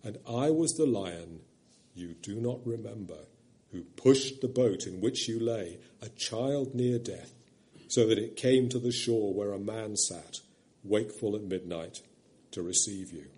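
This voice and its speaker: A man reading a storybook voicing a vast, ancient lion that speaks deep, low and slow with calm authority. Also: close to an everyday voice. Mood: solemn. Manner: grave, unhurried, gentle authority.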